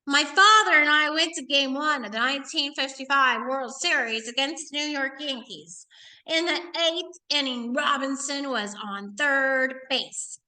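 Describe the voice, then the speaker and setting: A woman with a high, bright voice reads aloud as an educator would for children. Her delivery is expressive and emphatic, drawing out important phrases. She mixes the reading with casual, instructive asides. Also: a little slow, rough recording.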